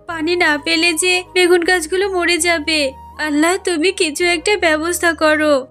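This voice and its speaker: A woman's voice: extremely high pitch, steady tone, normal speed, fairly bright tone, soft background music. A woman voicing a poor, kind, devout fox wife in a cartoon, speaking in an earnest, plaintive way. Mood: worried, pleading. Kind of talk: cartoon character acting.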